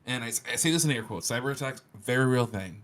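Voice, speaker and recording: A man with an average-pitched voice trades casual tech banter. He is blunt and sardonic, hammering points home by saying them again. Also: fast, fairly bright tone. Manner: blunt, sardonic, emphatic repetition. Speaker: co-host.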